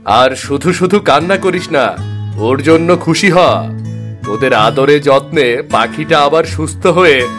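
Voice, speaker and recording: A man's voice: a little high, unhurried, a bit bright, faint music behind. A man voicing a kind, affectionate village father in a cartoon moral story, reassuring and fond.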